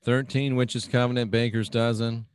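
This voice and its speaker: A man's voice: mid-range voice, little pitch movement, normal speed, clear, resonant tone. A man hosting his own radio show, chatting casually and reading out listener comments in a folksy, agreeable way. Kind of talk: casual talk reading out live chat comments.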